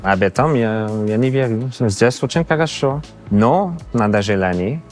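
A man in his thirties from Canada with a Canadian accent, speaking a learned second language with pauses and fillers in an interview. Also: medium pitch, faint music behind. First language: not Russian. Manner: halting, searching for words with many fillers. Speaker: interview guest. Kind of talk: casual interview answers. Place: exhibition hall indoors. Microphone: lapel.

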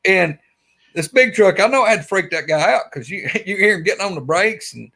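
A man's voice: high-pitched, a little fast, vocal fry. Alabama man spinning a wild yarn. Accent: Southern US, Alabama. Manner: animated, rambling storyteller with lots of fillers. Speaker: guest. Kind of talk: casual storytelling interview. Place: his man cave, small indoor room. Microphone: webcam on a video call.

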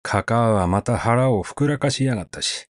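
A man reading the part of a rough, grumbling male cement laborer who talks in coarse, cursing speech. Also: medium pitch, expressive, normal speed, no room sound, clean studio sound, natural voice.

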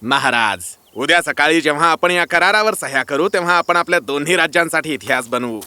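An actor playing a pompous, scheming prime minister, formal and condescending, in a fairy-tale cartoon. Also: male voice, on the high side, lively intonation, a little fast, pushed and bright. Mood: smooth, condescending.

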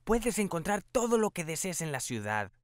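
This is a haughty city mouse from a children's cartoon, acted out by a performer. The mouse brags about fine living in a mid-pitched, very animated voice. The delivery is expressive and snobbish. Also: moderate pace, dry recording, lightly acted. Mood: snobbish, disdainful. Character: boastful, snobbish city mouse who loves luxury. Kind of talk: children's cartoon dub acting.

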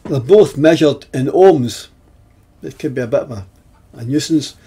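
A man in his seventies giving an explanatory technical lesson in a deliberate, halting way, with pauses between phrases and a husky voice. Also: mid-range voice, animated, dark tone. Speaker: presenter of an instructional video.

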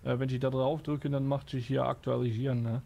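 A man's voice: mid-range voice, flat delivery, fast talker, vocal fry, dark tone. A man improvising in character in a survival role-play, hesitant and often tacking questions onto his sentences.

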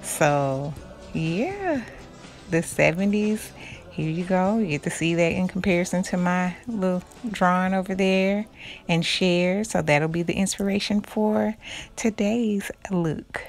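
A woman, hoarse from losing her voice, talking softly through a casual makeup tutorial in a small room, close to the camera. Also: lowish voice, a little slow, faint music behind. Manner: soft-spoken, drops to a near whisper. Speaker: beauty vlogger. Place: small dark room indoors. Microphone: camera close to the face.

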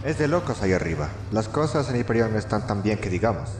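A young man playing a cocky, wry corporate employee in a Latin American Spanish video game fan dub, joking and expressive. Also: on the low side, fast talker. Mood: weary, focused. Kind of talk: amateur fandub of a video game. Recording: echoey room, music over the voice.

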